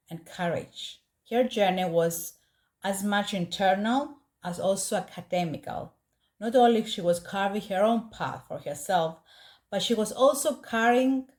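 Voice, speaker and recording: A woman with a Greek accent, a native Greek speaker, hosting a podcast and narrating a true story in an earnest, measured way. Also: on the low side, darkish tone. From Greece. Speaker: podcast host.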